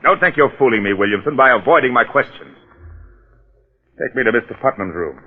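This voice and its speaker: An actor playing a determined, suspicious police detective in old-time radio drama, speaking in a clipped, commanding way. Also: male voice, medium pitch, lively intonation, fairly quick, husky, narrow, tinny audio. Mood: firm, probing.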